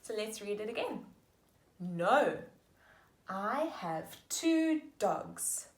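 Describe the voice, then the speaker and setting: A woman teaching young children, slowly sounding out sentences and praising them warmly. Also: medium pitch, sing-song. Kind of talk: slow, patient lesson for young children. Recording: echoey room.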